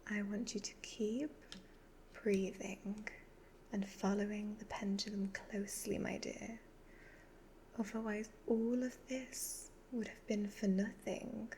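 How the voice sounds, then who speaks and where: Woman doing a soft whispery evil witch fortune teller, asmr roleplay, slow n hypnotic, sly, commanding, bit of background noise. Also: mid-range voice, little pitch movement, poor audio. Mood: sly, teasing. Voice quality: soft, breathy, half-whispered. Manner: slow, deliberate, instructing in measured phrases.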